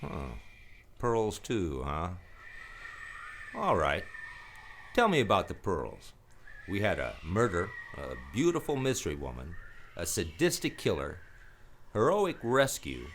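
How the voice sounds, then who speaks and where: A man's voice: low voice, huge pitch swings, slow pace, long pauses, slight background noise, exaggerated voice. A man playing a wry, world-weary private detective in a noir radio play, terse and sardonic. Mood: wry, probing. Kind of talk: radio noir acting with first-person narration. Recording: radio play over guitar music.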